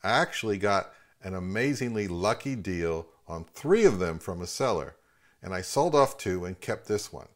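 A man in his fifties with a fairly low voice hosts a review channel. He delivers scripted product commentary in the manner of a narrator, speaking as a presenter to his viewers. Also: expressive, normal speed.

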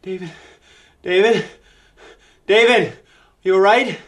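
A man's voice: high-pitched, slow pace, faint music behind. A young man playing a frightened, pleading teenage boy in a horror audio drama, stammering and repeating himself. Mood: alarmed. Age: young adult.

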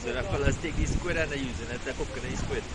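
A young man with a Trinidadian accent, casually commentating a fishing trip outdoors in an excitable, teasing, encouraging way. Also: on the high side, low-quality recording. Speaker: fishing vlogger. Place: rocky riverside outdoors. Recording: loud music over outdoor audio.

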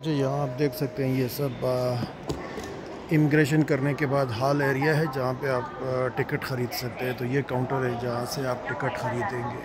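A man giving casual on-location tour commentary as a travel vlogger, explaining things in a halting way with pauses mid-sentence. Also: mid-range voice. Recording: noisy on-location recording with vehicle ambience. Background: clear ambient travel and vehicle noise.

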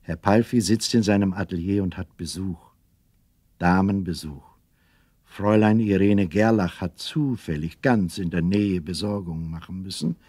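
Husky low-voiced male storyteller. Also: normal speed, a little mellow, no echo. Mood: measured narration. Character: storyteller of a children's radio play. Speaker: narrator. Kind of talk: scripted audio-drama narration.

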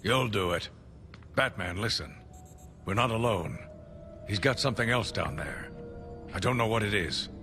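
An actor playing an earnest older police commissioner in a video game. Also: male voice, on the low side, animated, normal speed, long pauses, over music. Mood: urgent. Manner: earnest, warning.

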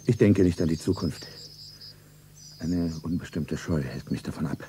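Ein Schauspieler spielt in einem Hörspiel einen reichen, lebensmüden Geschäftsmann als zärtlichen, feierlich sentimentalen Liebhaber. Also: male voice, deep voice, normal speed, mellow, a little hiss or hum, lightly acted. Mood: earnest, evasive. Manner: sentimental, solemn, self-narrating. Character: rich, world-weary businessman, solemnly tender lover. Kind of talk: German radio play acting.

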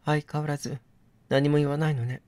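A man's voice: on the high side, little pitch movement, brisk, a little mellow, dry recording, close to an everyday voice. A man voicing a bitter, defiant woman held hostage in a game, speaking with reproachful, knowing feminine phrasing. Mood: cold, reproachful.

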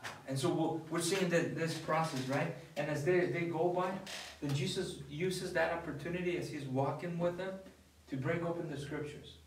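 A man's voice: a little high, normal speed, slight background noise. A young man, a priest, talks casually about scripture, restarting his sentences and telling stories with animation. He sits at a dining table, picked up by a camera from a few feet away. The room adds an echo. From not from the US. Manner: animated storyteller, many restarts and fillers. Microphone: far camera. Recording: echoey room from far off.

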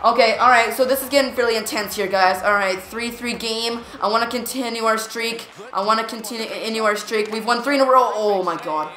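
Woman gaming host; jumpy live reactions, repeats words when excited, echoey room. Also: a little high, fairly quick, hardly any pauses. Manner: jumpy, reactive, lots of "come on" and "here we go". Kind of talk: excited live gameplay commentary. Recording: roomy echo.

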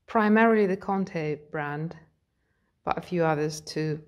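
A portrait artist with a British accent walks through her home studio, naming her tools as she films on a phone. Her voice sits a little low and she speaks slowly and calmly. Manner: calm, explanatory, pointing things out. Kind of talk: guided studio tour narration. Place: home art studio, indoors.

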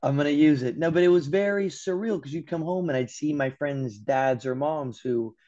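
A young American man from Los Angeles, a guest telling animated stories over a video call, acting out quotes and swearing casually. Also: fairly high pitch, normal speed. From Los Angeles, USA. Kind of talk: casual interview chat. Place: room at home by a window. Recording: dull lo-fi call audio.

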